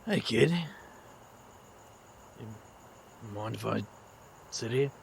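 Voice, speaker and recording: A man playing a blunt, protective outsider and awkward mentor in an audio drama, halting but earnest. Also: on the low side, gravelly, a little hiss or hum, full character voice. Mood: gentle, earnest.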